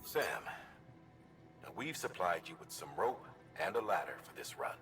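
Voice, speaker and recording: An actor playing a middle-aged male commander in a video game, giving measured, instructive mission briefings. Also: sits low, big pitch swings, far from the mic, music in the background.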